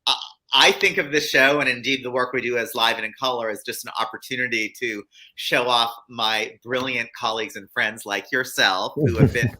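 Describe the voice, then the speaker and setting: Man, host, very bright tense voice, effusive, stammers a little. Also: medium pitch, steady tone, normal speed, low-quality recording. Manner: enthusiastic, effusive, with stammered restarts. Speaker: host, artistic director. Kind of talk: casual interview chat.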